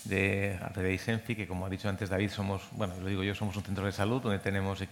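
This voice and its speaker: A man's voice: low voice, level tone, fast, slight background noise. A man coordinating a medical training program gives a rambling conference talk, full of restarts and repeated words. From Madrid. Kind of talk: conference speech. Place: conference hall. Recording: long hall reverb.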